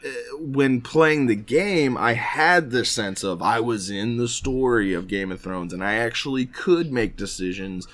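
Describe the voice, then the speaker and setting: A man's voice: medium pitch, measured pace, few pauses, fairly bright tone, a little hiss or hum. A man chatting casually as a podcast co-host, often hedging and circling back as he talks. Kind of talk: casual chat about games.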